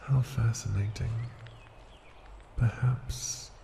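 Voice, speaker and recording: A man voicing an ancient male dragon in an ASMR fantasy roleplay, possessive, seductive and condescending, breathy and often sinking into a whisper. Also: mid-range voice, steady tone, dark tone, full character voice. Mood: reassuring, possessive. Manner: slow, deliberate, teasing endearments. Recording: roomy echo, noisy ambience.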